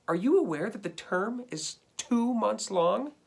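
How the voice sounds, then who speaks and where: A man's voice: high-pitched, expressive, measured pace, creaky, breathy edge, voice pushed up, heavily acted. A man reading a storybook as an indignant, put-upon pet dog, complaining in a dramatic, emphatic way. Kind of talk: storybook read-aloud.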